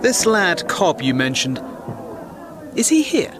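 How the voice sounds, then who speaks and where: Urbane English gentleman, high lively voice. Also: slow, crowd noise, background noise, exaggerated voice. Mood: probing. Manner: polite, urbane, probing. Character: well-spoken, inquisitive British gentleman questioning a soldier. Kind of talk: audio drama acting.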